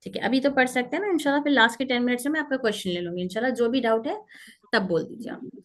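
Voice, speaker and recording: A woman teaching a pronunciation class over a video call, explaining step by step and repeating terms and letters slowly. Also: a little high. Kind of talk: online class lecture. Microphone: computer mic on a video call.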